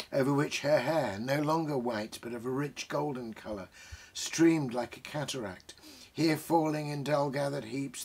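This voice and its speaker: An older man with a British accent and a husky, creaky voice at an everyday male pitch. He speaks reflectively, lingering over phrases and pausing deliberately as he discusses and reads from books. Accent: British English. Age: 60s. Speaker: host. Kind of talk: bookish commentary and reading aloud. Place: small book-crammed study, indoors.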